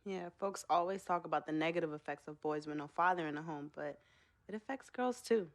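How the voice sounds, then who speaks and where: A woman's voice: deep voice, brisk. A woman playing a playful, loyal young American sister in game dialogue, speaking in a slangy, teasing way. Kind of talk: naturalistic game cutscene dialogue.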